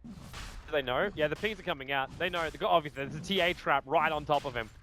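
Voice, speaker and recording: High-pitched aussie guy yelling play-by-play on a video game match. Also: faint music behind. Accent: Australian. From Australia. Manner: rapid, excitable calling of the action. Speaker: esports commentator. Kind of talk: play-by-play esports commentary.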